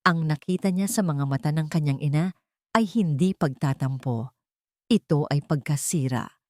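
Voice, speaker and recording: A woman with a lowish voice narrates a scripted drama. Her phrasing is measured and dramatic, with deliberate pauses. The sound is close and dry, without room echo. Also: a little mellow. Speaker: storyteller, narrator.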